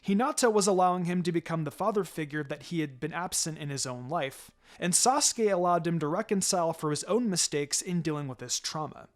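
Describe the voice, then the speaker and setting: A man's voice: on the high side, animated, fairly quick, few pauses. A man reading fan fiction aloud as a narrator, in a dramatic scripted storytelling style. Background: music.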